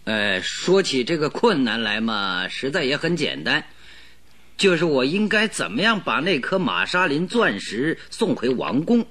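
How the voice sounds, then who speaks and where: A man's voice: medium pitch, sing-song, measured pace, bright, forceful tone, exaggerated voice. A man playing a polite detective in a radio drama, courteous and feigning helplessness. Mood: mock-humble. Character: polite mock-humble male detective.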